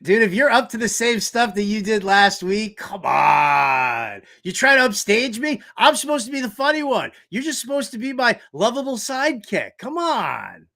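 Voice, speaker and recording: A man's voice: sits high, sing-song, normal speed, hardly any pauses, bright, forceful tone. A man hosting a sports talk show, giving casual comedic commentary in a joking, theatrical way with emphatic repetition. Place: shed used as home studio.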